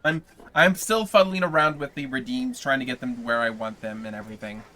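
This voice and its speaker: A man hosting a casual livestream, chatting loosely with viewers between chapters into a desk mic. His voice is bright and a bit sharp, and he rambles at an easy, friendly tempo. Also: mid-range voice, fairly quick. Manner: relaxed, rambling, chats with viewers. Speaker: livestreamer reading a novel aloud. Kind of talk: casual stream chat with viewers.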